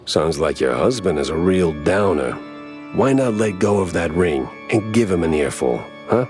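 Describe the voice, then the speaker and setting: An actor playing a wisecracking, deadpan hard-boiled mouse detective in a noir cartoon game. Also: male voice, deep voice, moderate pace, over music. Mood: sardonic. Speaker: private investigator protagonist.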